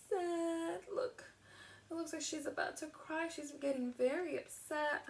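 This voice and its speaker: A woman reading a picture book aloud to young children in an expressive teacher's manner, drawing words out. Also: high voice, takes it slowly. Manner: expressive, asks the listener questions, gasps, draws words out.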